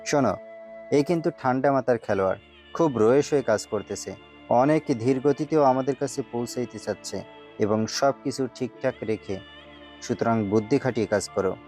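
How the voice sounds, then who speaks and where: A man's voice: mid-range voice, moderate pace, a little mellow, faint music behind, lightly acted. A man playing a stern, wary government minister who gives commanding orders in an audio story. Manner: commanding, cautioning.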